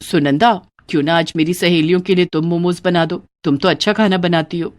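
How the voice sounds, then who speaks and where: A woman's voice: lowish voice, fairly even intonation, close to an everyday voice. A woman voicing a bossy rich employer. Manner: commanding, brisk. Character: bossy well-off lady employer.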